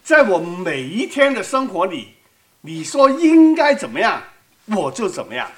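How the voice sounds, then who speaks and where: A woman's voice: mid-range voice, sing-song, normal speed. A woman from Hong Kong with a Cantonese accent, preaching in a conversational way and putting questions to her audience. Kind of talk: sermon with live interpretation.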